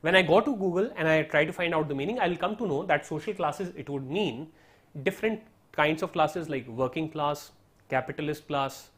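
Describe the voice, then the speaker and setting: A man's voice: on the high side, normal speed, fairly bright tone. Indian man explaining study tactics. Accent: Indian English. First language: Hindi. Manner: explanatory, repeats "right?" and "so therefore", mixes in Hindi. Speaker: teacher. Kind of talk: online lesson on study strategy.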